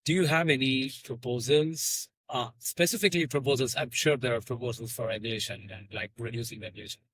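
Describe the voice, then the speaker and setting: Husky, halting male podcast host. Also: mid-range voice, fairly bright tone, no echo, rough recording. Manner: halting questions with many restarts. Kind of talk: casual podcast interview.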